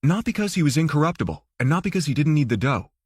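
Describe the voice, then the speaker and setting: A man's voice: a little high, very animated, fast, slightly rough, no echo, studio quality. A man reading scripted fiction as an audiobook narrator.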